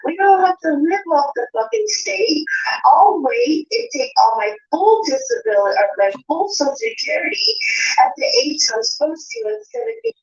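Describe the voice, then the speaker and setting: Combative woman with a high voice, sweary and argumentative, speed-altered playback, muffled and echoey. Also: sing-song, normal speed. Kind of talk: heated casual argument. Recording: echoey, muffled played-back audio.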